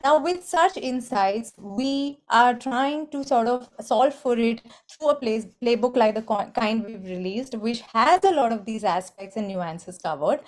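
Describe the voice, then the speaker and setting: A woman, speaking in a measured, formal corporate way as an industry body representative on a webinar panel. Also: a little high, lively intonation, darkish tone. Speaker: trade body executive and webinar organizer.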